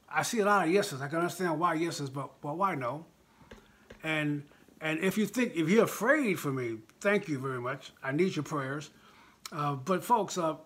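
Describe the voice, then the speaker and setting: A man's voice: high voice, a bit bright. An American man in his sixties speaking informally but with passion to live viewers, emphatic and repeating phrases for effect. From United States. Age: 60s. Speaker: live stream host and political speaker.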